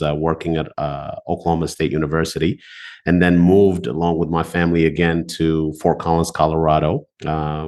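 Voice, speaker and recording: A man from Ethiopia in his thirties, a loan officer answering interview questions in a relaxed way, with many filler pauses. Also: extremely low pitch.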